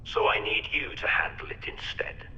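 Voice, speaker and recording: A man playing an eccentric, sinister chief surgeon in a video game, speaking with florid, mocking courtesy in a breathy half-whisper. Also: extremely low pitch, animated, normal speed, sharp tone, highs cut off, slight background noise, full character voice. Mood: coolly calculating. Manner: theatrical, florid, mocking politeness. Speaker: game voice actor. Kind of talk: video game cutscene acting. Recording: echoey intercom in a reverberant hall.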